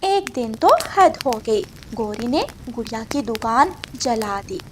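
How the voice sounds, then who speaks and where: A woman's voice: fairly high pitch, animated, slow, a little mellow, a little hiss or hum. A woman reading scripted narration for a children's moral story as the storyteller.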